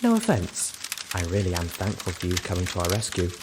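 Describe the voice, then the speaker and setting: A man's voice: deep voice, wildly swooping pitch, brisk, noisy background. A refined lady unicorn, put on by a male reader speaks with prim politeness. She is silky and disapproving, chiding her companions in an audiobook adventure. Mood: politely firm.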